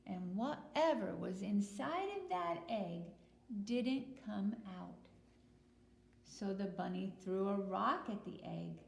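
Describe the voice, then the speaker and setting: A woman teacher reading and talking to young children in simple, deliberate phrases, putting questions to her listeners. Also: medium pitch, lively intonation, vocal fry. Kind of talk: picture-book read-aloud and talk to young children. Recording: roomy echo with noticeable hiss.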